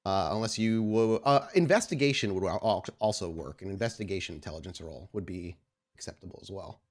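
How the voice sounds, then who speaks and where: A man improvising narration as game master of a tabletop game, with a halting delivery full of repeated words. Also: sits low, lively intonation. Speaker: game master of an actual play podcast.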